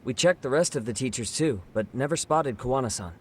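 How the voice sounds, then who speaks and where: A man's voice: medium pitch, lively intonation, fairly quick, a little hiss or hum, close to an everyday voice. An actor playing an easygoing, curious male sidekick in a video game, talking casually and asking questions.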